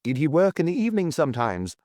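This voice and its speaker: A man's voice: on the high side, very animated, fast talker, darkish tone, no echo, clean studio sound, voice pushed up. A man voicing a solemn examining magistrate in an audiobook, putting short, pointed, formal questions. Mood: pressing.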